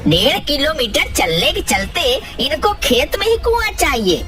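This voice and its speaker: A scolding cartoon farmer father voiced by a woman, gruff in manner but high in pitch. The delivery swings widely and is curt, over loud music. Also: big pitch swings, measured pace. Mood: irritated scolding.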